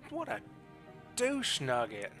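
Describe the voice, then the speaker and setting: A man's voice: high-pitched, huge pitch swings, slow pace, a bit bright. A man streaming a game with casual live commentary in a playful, teasing manner. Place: indoor room at a streaming setup. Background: game music.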